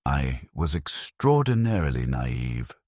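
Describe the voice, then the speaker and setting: A man narrating a scripted first-person story in a grave, measured way. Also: a bass voice, very animated, a little mellow, no room sound, studio quality.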